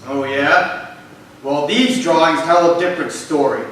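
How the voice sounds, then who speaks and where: A man's voice: a little high, unhurried, fairly bright tone. A man playing a bullying, sneering police sergeant in a staged reading. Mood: menacing. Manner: intimidating, sneering. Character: menacing, bullying male police sergeant interrogating a teenager. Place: arts center stage, live performance. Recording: echoey hall, distant mic, faint noise.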